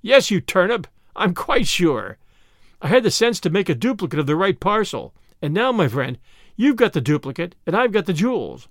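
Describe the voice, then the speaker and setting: A man's voice: high-pitched, very animated, brisk, hardly any pauses, no room sound, clean studio sound. A man voicing a flamboyant, boastful giant thief in a storybook, with a theatrical, taunting manner. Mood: gleeful gloating. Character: flamboyant, gloating giant master thief, male, boastful and mocking.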